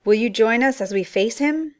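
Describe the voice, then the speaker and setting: A woman playing a wise, calm male mentor in a family radio play, measured, reassuring and formal. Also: on the high side, natural voice. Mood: grave.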